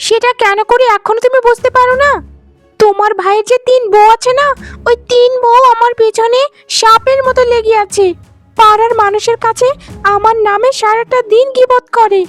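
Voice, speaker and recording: A woman's voice: very high voice, fairly even intonation, normal speed, soft background music. A woman voicing a haughty, spiteful young wife who scolds and threatens in a cartoon family drama. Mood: aggrieved.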